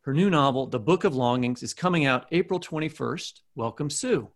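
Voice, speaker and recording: A man's voice: a little high, animated, normal speed. A man co-hosting a podcast, giving introductions and putting questions to a guest. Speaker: podcast co-host.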